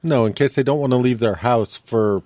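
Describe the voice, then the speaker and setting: A man's voice: mid-range voice, moderate pace, a little mellow, dry recording. A man co-hosting a podcast, explaining things casually in a matter-of-fact way. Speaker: podcast co-host. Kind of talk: casual chat.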